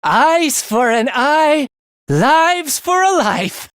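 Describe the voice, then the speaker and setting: A man's voice: very high voice, sing-song, extremely slow, a bit bright, no echo, studio quality, heavily acted. A man voicing a morbid, bloodthirsty young male student scientist in an English video game, speaking theatrically with a sinister relish for the macabre. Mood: gleefully menacing.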